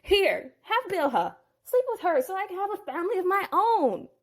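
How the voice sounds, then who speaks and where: Eager young wife wanting sons, lively high voice; woman reader doubles the role. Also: normal speed. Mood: excited.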